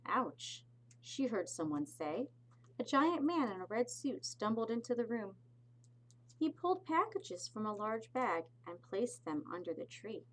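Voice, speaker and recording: A woman teacher reads a picture book aloud for young children, expressively and deliberately, adding little explanatory asides. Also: fairly high pitch, very animated, a little hiss or hum, rough recording. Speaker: pre-K teacher reading aloud.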